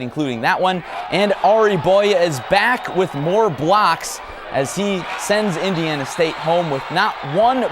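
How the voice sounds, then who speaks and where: A man calling sports as a play-by-play announcer and anchor, in a commentary style. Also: fairly high pitch. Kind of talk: sports commentary and highlight narration. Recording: crowd and outdoor noise behind. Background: crowd and ambient noise.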